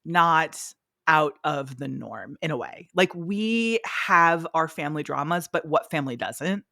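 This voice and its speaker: A young woman hosts a podcast, chatting casually in a fairly low voice, expressive and unbothered about swearing. The sound is close and dry, with no room around it. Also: measured pace, vocal fry, dry recording. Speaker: podcast co-host. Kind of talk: casual chat.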